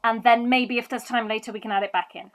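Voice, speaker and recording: An actress plays a harried female amateur theatre director with a high voice. She is tactful and a bit hesitant but stays politely firm, in natural comedy dialogue. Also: fairly even intonation, fast, a bit bright, lightly acted.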